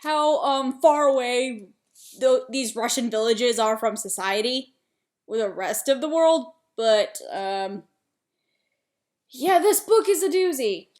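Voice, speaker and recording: Young female vlogger, slightly high pitch, casual and chatty, prone to exasperated rants. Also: animated, slow pace, darkish tone. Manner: chatty, frequent ums, rising exasperation. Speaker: book vlogger. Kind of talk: casual book review.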